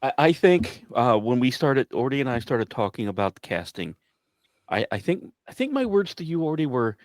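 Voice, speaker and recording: A man hosting a casual panel, halting and repeating his words as he steers the conversation. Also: medium pitch, big pitch swings, no echo, studio quality. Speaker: host and songwriter.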